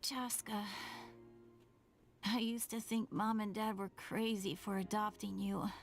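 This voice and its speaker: Woman as tender younger sibling, high-pitched, slow, sighing, resigned, self-blaming, video game drama, faint music. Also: flat delivery, close to an everyday voice. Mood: regretful, weak. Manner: breathy sighing, halting delivery.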